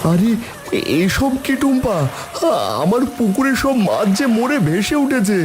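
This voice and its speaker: A man's voice: very high-pitched, animated, unhurried, noisy background. Man voicing an anxious village fish farmer. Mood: distraught. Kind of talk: Bengali cartoon voice acting.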